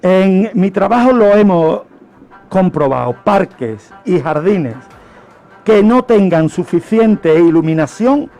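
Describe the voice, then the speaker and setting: A man's voice: high-pitched, expressive, takes it slowly, warm tone. A man presenting a history segment on the radio, telling it like an emphatic lecture full of rhetorical questions and repetition.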